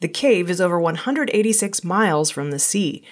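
Low-pitched woman reading scripted news. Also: expressive, moderate pace. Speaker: news reader.

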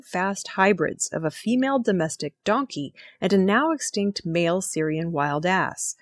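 A woman presenting scripted news stories in a measured, formal reading manner. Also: mid-range voice, dry recording.

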